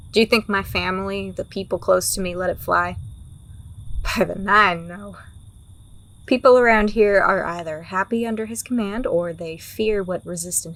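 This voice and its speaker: A woman's voice: lowish voice, a bit bright, rough recording. Woman playing a jaded fantasy underworld woman, blunt n wry, teases a lot, audio drama style. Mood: somber, resigned. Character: world-weary, teasing, pragmatic woman working for a crime boss.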